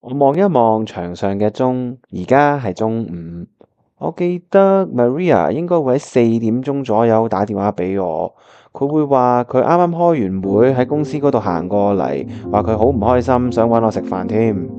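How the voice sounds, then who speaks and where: A man's voice: medium pitch, lively intonation, moderate pace, mellow, lightly acted. An actor with a Hong Kong Cantonese accent playing a lovestruck, scheming young man who narrates a radio drama in colloquial, earnest style over music. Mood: reflective storytelling. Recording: dull lo-fi audio with music behind.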